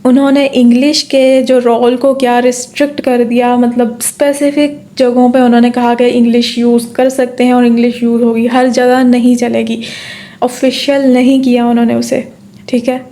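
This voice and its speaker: Woman tutoring with a flat, mellow voice. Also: fairly high pitch, normal speed. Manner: explains step by step, repeats points, asks and answers own questions; frequent fillers and "theek hai" checks. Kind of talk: exam-prep lecture in Urdu-English mix.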